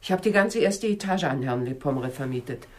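A woman's voice: rumbling low, animated, fairly quick, a little mellow. An actress playing a matter-of-fact landlady who gives her account plainly in a 1960s German radio crime drama. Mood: sober, factual.

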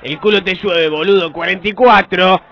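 Guy doing bossy argentine clerk, gruff talk for a radio skit, kinda high voice, muffled sound. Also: moderate pace, some chatter behind, natural voice. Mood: sardonic, bossy. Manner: gruff, sardonic, colloquial. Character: grumpy, bossy, foul-mouthed male office clerk. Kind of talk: improvised comic radio sketch. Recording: dull, muffled live radio recording.

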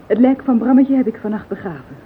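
An actress playing an anxious, self-justifying accomplice, pleading and defensive, in a Dutch radio play. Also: female voice, lively intonation, fast talker, close to an everyday voice. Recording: muffled phone-like old radio recording, faint rumble.

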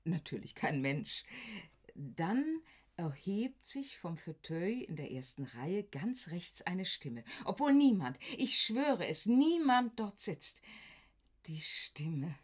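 Chatty, animated theater usherette. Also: female voice, on the low side, slow, full character voice. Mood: confiding, animated. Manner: earnest, garrulous, emphatic. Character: chatty, credulous theater box usherette, devoted to phantom. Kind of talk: German radio play acting.

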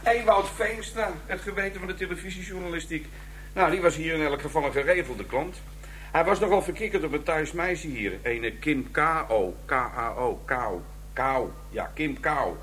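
A streetwise police detective, acted by a man, with a slightly high voice for a male. He is blunt and informal, in a radio crime drama with a faint hum. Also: moderate pace, fairly bright tone, lightly acted. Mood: blunt, informative.

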